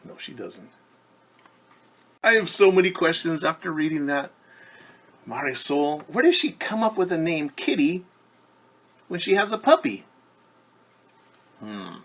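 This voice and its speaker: A man teacher reading a picture book aloud to children in an expressive, deliberate way, with wondering asides. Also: on the high side, husky. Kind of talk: storytime read-aloud with commentary for children.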